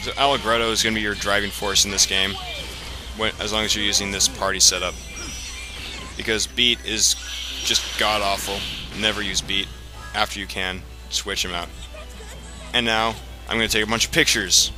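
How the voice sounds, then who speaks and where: Low-pitched man explaining a video game. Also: fairly even intonation, normal speed. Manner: rambling, explanatory, frequent profanity. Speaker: let's play host. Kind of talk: casual video game commentary. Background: video game music and sound.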